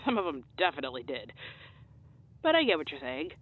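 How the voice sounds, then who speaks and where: Through a thin, phone-like band comes a woman acting as a dry, polite female colleague in a comedy radio drama. She is supportive but hesitant, and her pitch moves around in a lively way. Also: brisk, heavily acted.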